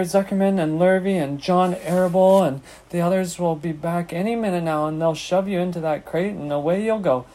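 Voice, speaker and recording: A weary female spider from a storybook read by a man speaks calmly and firmly. She stays composed and matter-of-fact, with a gently scolding tone. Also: a little high, pitched above the performer's own voice, lightly acted. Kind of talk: children's storybook reading.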